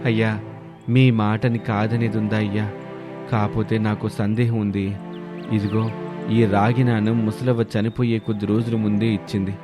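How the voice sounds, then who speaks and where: Poor village orphan played by a male storyteller, deferential, pleading, crafty, lowish voice over music. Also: level tone, moderate pace, natural voice. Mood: humble, persuasive.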